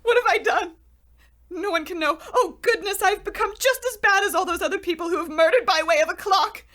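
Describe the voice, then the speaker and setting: High-pitched, unhinged shut-in lady. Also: a little fast, a bit bright. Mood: frantic, scheming. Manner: fretful, scatterbrained, talks to herself. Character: paranoid, unhinged shut-in woman talking to her potato. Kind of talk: old-time radio comedy acting.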